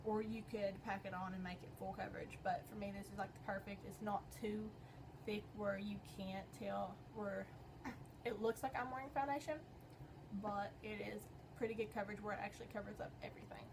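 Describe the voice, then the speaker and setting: A young woman with a slightly hoarse voice, chatting her way through a makeup tutorial in a rambling, filler-heavy way. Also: mid-range voice, fairly even intonation, brisk. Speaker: beauty vlogger. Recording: noisy home recording.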